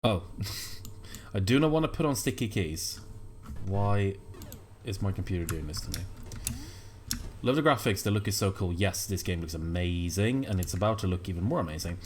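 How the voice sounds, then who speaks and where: A man live-streaming a video game at his home computer, chatting casually and reading viewer messages aloud in a soft, friendly way. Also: low voice, animated, normal speed, slight background noise. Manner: soft-spoken, reads viewer chat aloud and thanks viewers warmly. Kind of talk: casual live-stream chat while gaming.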